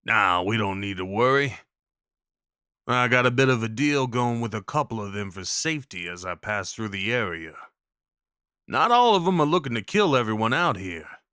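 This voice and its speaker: A man's voice: lowish voice, lively intonation, normal speed, bright, forceful tone, clear, resonant tone, no echo. Man playing a folksy Old West cowboy, close and dry. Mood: gentle, reassuring. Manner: folksy, reassuring, informal. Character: kindly rough-spoken Old West cowboy guide, protective and awkward. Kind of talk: intimate audio roleplay acting.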